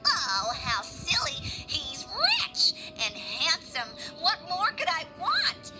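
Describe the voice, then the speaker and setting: A vain, dreamy young daughter from a storybook cartoon, acted by a woman. Her voice is very high and swoops animatedly, with a gushing, theatrical delivery. Music plays behind her. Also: wildly swooping pitch, takes it slowly, full character voice. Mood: smitten, haughty. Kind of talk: children's animated storybook acting.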